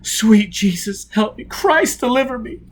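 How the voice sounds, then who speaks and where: A man's voice: high-pitched, expressive, unhurried, slight background noise, pitched above the performer's own voice. A man voicing a tortured, terrified spaceship crewman in an audiobook, gasping out short broken exclamations of anguish. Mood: agonized begging.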